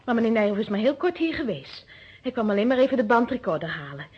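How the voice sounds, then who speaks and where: A woman's voice: a little high, animated, fast, husky. A young woman playing a wary, flustered housekeeper in a radio drama, hesitant and defensive but chatty. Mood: nervous. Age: young adult. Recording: dull muffled old radio drama recording.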